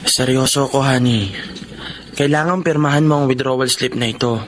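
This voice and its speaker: A man's voice: mid-range voice, fairly even intonation, moderate pace, noisy background, close to an everyday voice. An actor playing a kind, reasonable middle-aged husband and father in a Filipino radio drama. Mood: earnest.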